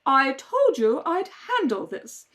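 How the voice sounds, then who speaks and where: Radio play: domineering older aunt played by a woman, haughty, imperious, clipped delivery, animated pitch. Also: slow pace, slight background noise, heavily acted. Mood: stern, commanding.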